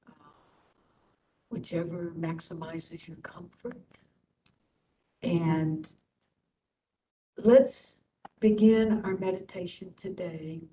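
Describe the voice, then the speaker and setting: A woman instructor leading a guided meditation in short phrases with long pauses. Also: warm tone. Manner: slow, pausing between short phrases. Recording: distant mic, dull, faint rumbling noise.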